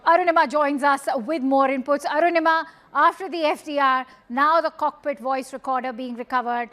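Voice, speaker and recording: A woman news anchor with an Indian accent and a high voice. She presents live news earnestly, choosing her phrases with deliberation. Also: steady tone, a little fast, a bit bright.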